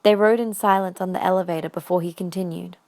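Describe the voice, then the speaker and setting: A woman's voice: on the low side, fairly even intonation, fast, darkish tone. A woman reading scripted narration for a fan-made audio drama.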